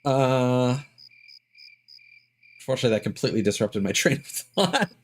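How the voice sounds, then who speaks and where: A man giving casual live gameplay commentary, explaining details in a halting way and thinking aloud with asides. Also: medium pitch, animated, background noise. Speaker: game streamer.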